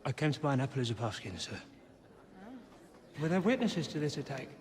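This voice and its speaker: An actor playing an awkward, earnest young wizard, insistent in manner, in a film scene. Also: male voice, medium pitch, very animated, brisk, lightly acted. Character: awkward, earnest young British wizard who protects creatures. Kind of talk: film dialogue in a game cutscene. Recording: film score behind the voice.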